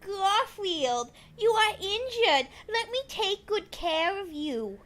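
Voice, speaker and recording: A man's voice: extremely high pitch, sing-song, slow, sharp tone, pitched above the performer's own voice, full character voice. A man voicing swooning, lustful colonial ladies in a melodramatic comic story reading.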